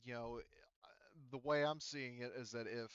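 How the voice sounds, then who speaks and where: A man running an online tabletop roleplaying game as game master, explaining in a halting, circling way. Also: mid-range voice, steady tone, smooth, no echo, dull audio. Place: remote online voice call.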